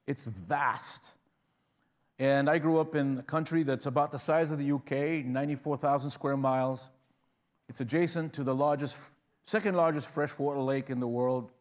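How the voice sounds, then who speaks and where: Man, guest preacher, conversational sermon, medium pitch, frequent restarts. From Uganda. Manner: conversational, with frequent restarts and rising bursts of emphasis.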